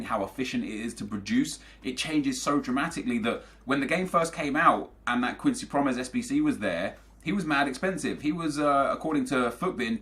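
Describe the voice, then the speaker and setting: A man's voice: mid-range voice, fairly bright tone. Rambling young British man arguing his point. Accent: British English. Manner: rambling, argumentative, self-correcting. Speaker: gaming video host. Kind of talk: casual commentary answering viewer comments.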